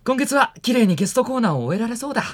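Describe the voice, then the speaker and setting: A woman's voice: on the high side, big pitch swings, racing. A woman playing a wry, exasperated teenage boy in an anime radio drama, with a blunt, dry manner. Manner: boyish, dry, blunt retorts.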